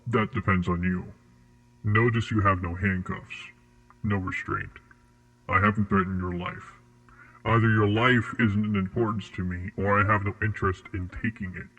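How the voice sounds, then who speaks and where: An actor in an audio drama playing a cold, composed, mysterious male interrogator, measured, formal and menacingly polite. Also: fairly low pitch, a bit bright, poor audio. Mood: controlled, probing.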